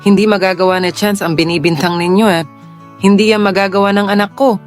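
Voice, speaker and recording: A woman's voice: medium pitch, fairly even intonation, a little fast, soft background music, lightly acted. A woman playing an anguished, pleading middle-aged mother in a Tagalog audio drama. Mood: pleading, distressed. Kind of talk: Tagalog romance radio drama acting.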